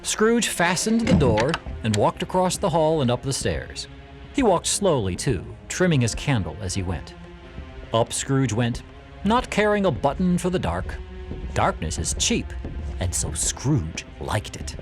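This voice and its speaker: A man narrating a classic story in audio theatre, with measured, emphatic, expressive delivery. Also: medium pitch, soft background music.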